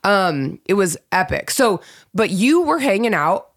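Raspy-voiced woman telling stories. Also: medium pitch, measured pace. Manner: animated storytelling, laughs often. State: raspy from illness. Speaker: podcast co-host. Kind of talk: casual chat.

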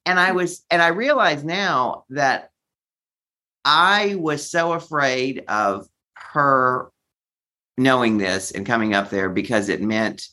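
A man's voice: mid-range voice, expressive, slow pace, sharp tone. A man telling a personal story as an interview guest, speaking candidly and with a theatrical streak. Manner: candid, theatrical, acts out quoted remarks. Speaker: interview guest, memoir author.

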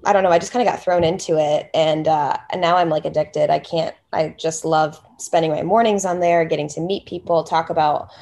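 Podcast guest: woman, 30s, low voice, casual and rambling, plenty of restarts. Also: steady tone, fairly quick, few pauses, a little mellow. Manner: rambling, chatty, lots of fillers and restarts. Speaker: salon owner and hairstylist guest.

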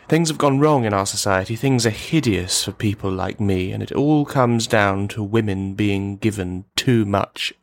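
A man playing a lonely, menacing misogynist in a radio play monologue, speaking in formal, mannered, halting phrases. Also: fairly low pitch, no room sound. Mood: bitter, domineering.

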